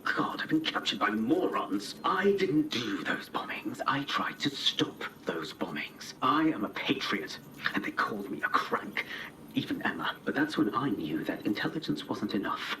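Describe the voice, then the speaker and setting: An actor playing an arrogant, pompous British spymaster villain in a video game, speaking with deliberate contempt. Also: male voice, medium pitch, very animated, sharp tone. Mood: bitter scorn. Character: arrogant, scornful British spymaster villain, middle-aged man. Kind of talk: video game cutscene acting. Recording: roomy, distant, slightly noisy.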